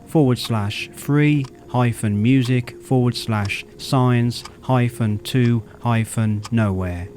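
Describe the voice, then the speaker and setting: A man reading scripted credits as an announcer, in a measured, careful delivery. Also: medium pitch, a little mellow, a little hiss or hum. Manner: measured, spelling out details. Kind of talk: scripted narration.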